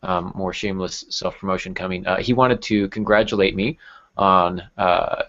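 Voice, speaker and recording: A man's voice: sits low, fairly even intonation, normal speed, a bit bright. A man hosting a podcast in casual chat, affable and self-deprecating. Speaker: podcast host, novelist. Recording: dull lo-fi audio.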